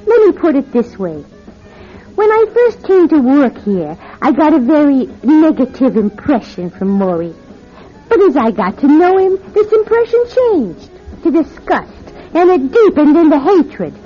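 An actress playing a tough, scheming go-go dancer with sardonic, slangy delivery in an old radio drama. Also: female voice, sits high, big pitch swings, unhurried, faint music behind. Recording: muffled old radio recording.

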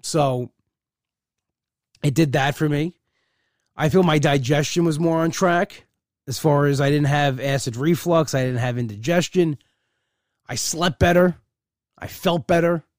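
A man's voice: fairly high pitch, unhurried, pauses often, dry recording, studio quality. A middle-aged man talking casually and candidly in a rambling personal monologue, often restarting his sentences.

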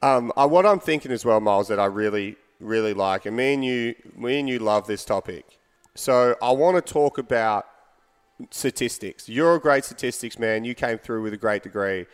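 A man's voice: medium pitch, smooth. Young male co-host, non-American English accent, chatty and repetitive, reverberant sound. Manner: chatty, repeats words for emphasis. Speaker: podcast co-host. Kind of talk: casual sports chat.

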